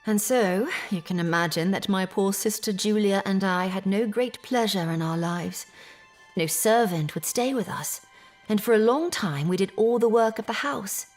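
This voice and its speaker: An actress playing a troubled young Victorian gentlewoman, telling her story formally in an audio drama. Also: mid-range voice, moderate pace, faint music behind, lightly acted. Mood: sorrowful. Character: distressed Victorian English gentlewoman recounting family misfortune. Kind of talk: period audio drama acting, narrative monologue.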